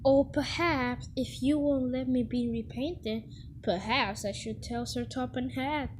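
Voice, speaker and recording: High-pitched cheeky little boy engine. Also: a little slow, a little hiss or hum. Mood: sly, teasing. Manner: cheeky, excitable, playful. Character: mischievous young twin tank engines, cheeky boys. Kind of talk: animated children's series character acting.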